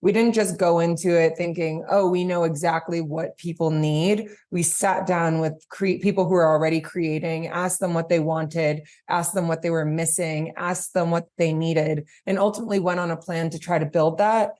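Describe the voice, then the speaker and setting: A woman answers interview questions in a conversational way. Her voice sits a little lower than average for a woman. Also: fairly even intonation, normal speed, hardly any pauses, a little mellow. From New York, United States. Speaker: guest, podcast platform chief executive.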